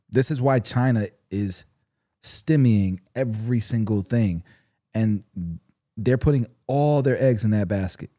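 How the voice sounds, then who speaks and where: A man with a low, warm voice hosts a livestream from his own setup. He talks in a blunt, laid-back way. The sound is dry and close. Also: dry recording. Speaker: livestream host. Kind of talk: casual livestream chat.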